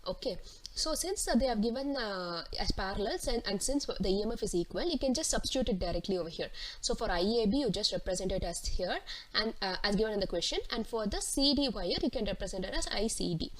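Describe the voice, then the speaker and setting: A woman's voice: medium pitch, animated, fast, a crowd in the background. A woman teacher explaining worked exam solutions step by step, reading out questions and then walking through them. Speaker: physics teacher. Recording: clearly noisy recording with clicks.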